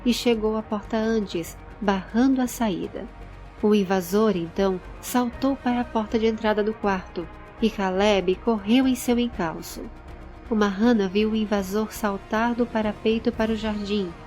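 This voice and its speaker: A woman with a Brazilian accent giving measured, dramatic storytelling narration for a scripted audio drama. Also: mid-range voice, a little mellow. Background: background music.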